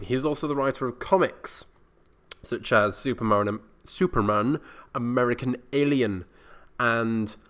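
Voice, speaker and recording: A man's voice: lowish voice, fairly bright tone. A young man talking casually as a vlogger, rambling in a self-deprecating, mildly sweary way. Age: young adult. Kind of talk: casual solo audio diary. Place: indoor university communal area at night.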